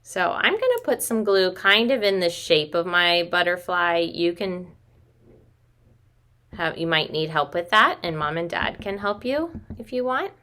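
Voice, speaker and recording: A woman's voice: on the low side, very animated, a little slow, sharp tone. A woman in her thirties, a preschool teacher, talking simply to young children through a craft demonstration at home. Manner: simple, child-directed talk, addressing listeners as friends. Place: small room at home.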